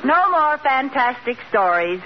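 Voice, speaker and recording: A playful, mischievous wife in an old American radio comedy. Her voice is high and theatrical, with cheeky delivery. It comes through a dull recording with clear background noise. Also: big pitch swings, unhurried. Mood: breezily blunt. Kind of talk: 1940s radio sitcom acting.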